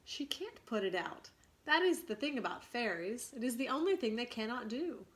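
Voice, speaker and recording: A woman's voice: on the high side, animated, moderate pace, voice pushed up, lightly acted. A woman voicing a cocky, commanding boy leader who never grows up, boastful, indignant and stern, in a storybook read-aloud. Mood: matter-of-fact.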